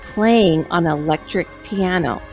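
Slow, low-voiced woman reading a ghost story. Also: loud music behind the voice. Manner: slow, measured reading with asides. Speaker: host reading a guest's story. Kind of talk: reading a paranormal story aloud. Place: dim room indoors. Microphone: headset and studio microphone close up.

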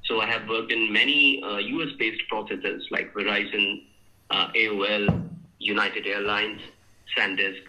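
Indian accent guy, voiceover artist, super bright tense voice, hindi native, calling in. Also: fairly low pitch, steady tone, unhurried, soft background music, low-quality recording. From North India. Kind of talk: introducing himself on a call.

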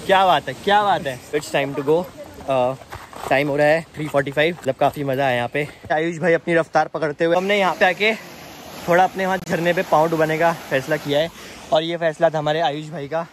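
A man's voice: fairly high pitch, fairly even intonation, fairly quick, a little hiss or hum. Young man teasing friends on a trail. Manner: teasing, playful joking with friends. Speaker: vlogger. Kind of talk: casual travel vlog. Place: outdoors on a hillside trail by a stream.

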